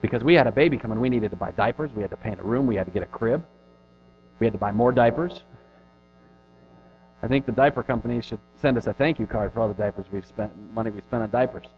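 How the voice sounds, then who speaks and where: A man in his thirties preaching a sermon in an earnest, conversational way, pushing hard on some phrases and dropping to a hush on others. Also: mid-range voice, fast. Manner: earnest, conversational preaching with rising and falling emphasis. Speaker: pastor. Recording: dull lo-fi recording with faint music.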